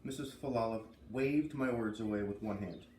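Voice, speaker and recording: A man's voice: fairly low pitch, normal speed, a little mellow. Man reading aloud in an echoey room. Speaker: read-aloud host. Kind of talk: children's book read-aloud narration. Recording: echoey room, distant mic, faint noise.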